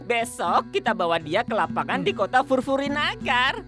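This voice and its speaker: An actor voicing a greedy, scheming showman in a cartoon, excitable and conniving. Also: medium pitch, moderate pace. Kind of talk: exaggerated cartoon dub. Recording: music behind the dialogue.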